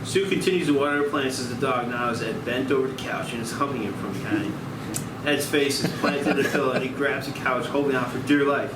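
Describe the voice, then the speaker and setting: A man's voice: mid-range voice, quick pace. A man reading stage directions aloud at a table read, in scripted narration. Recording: echoey room, distant mic, loud hum.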